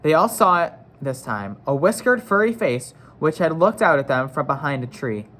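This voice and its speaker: A man's voice: on the high side, lively intonation, normal speed, fairly bright tone. A man narrating a children's novel in a read-aloud style, acting out the dialogue.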